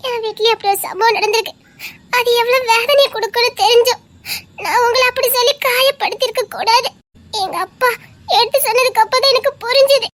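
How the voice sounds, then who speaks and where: An actress playing a remorseful young daughter who pleads for forgiveness through sobs. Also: female voice, extremely high pitch, steady tone, fairly quick, a bit bright, slight background noise. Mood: apologetic. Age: young adult. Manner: sobbing, pleading. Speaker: daughter apologizing to her neighbour.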